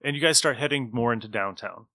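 A man's voice: mid-range voice, quick pace, bright, forceful tone, dry recording. A man acting as game master, narrating scenes and bantering with players in a tabletop role-playing game.

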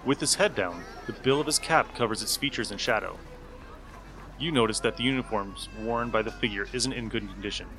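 A man's voice: lowish voice, fairly quick. A man running a comic tabletop game as game master, narrating the scene for the players.

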